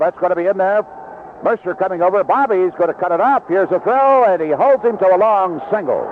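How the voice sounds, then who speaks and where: An American man calling baseball play-by-play on the radio in a folksy, descriptive manner. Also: sits high. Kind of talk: live radio sports commentary. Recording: dull, noisy vintage radio broadcast.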